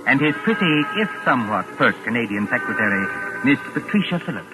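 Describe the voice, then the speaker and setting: An actor voices a suave storyteller introducing a vintage radio mystery. His mid-range male voice delivers polished, scripted narration over prominent, loud music. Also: mid-range voice, very animated, brisk, close to an everyday voice, low-quality recording. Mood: measured, wry.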